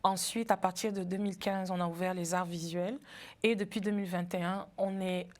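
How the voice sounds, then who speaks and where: A woman from Cameroon, a festival founder in her forties, talking in a friendly, thoughtful way in a TV interview, laughing easily and pausing with fillers. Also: on the low side, fairly even intonation, a little mellow. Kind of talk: TV interview conversation.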